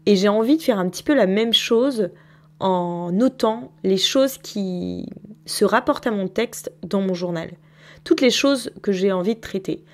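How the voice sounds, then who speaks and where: A woman in her thirties talks through her novel-in-progress in a relaxed audio diary. She is reflective and thinks aloud, with a voice pitched in an ordinary middle range. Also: measured pace. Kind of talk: spontaneous spoken diary.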